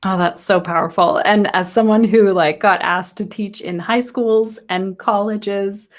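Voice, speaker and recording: A woman's voice: mid-range voice, steady tone, a little slow. A woman hosting a yoga teacher podcast, speaking in an encouraging, reflective way and asking open questions. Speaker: podcast host. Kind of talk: casual interview chat.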